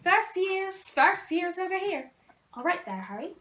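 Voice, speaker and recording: A woman's voice: very high voice, very animated, a little slow, pushed and bright, roomy sound, voice pushed up, heavily acted. A woman voicing a big, hairy, hearty man calling out to students in a storybook.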